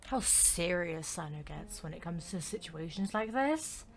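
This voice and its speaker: A woman's voice: fairly low pitch, animated, a little slow, creaky, a little hiss or hum. A woman giving casual, offhand reaction commentary as a game streamer.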